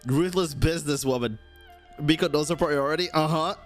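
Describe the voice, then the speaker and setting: A woman's voice: sits low, normal speed. A woman streaming a game, giving chatty, casual reactions and muttered exclamations. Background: game music.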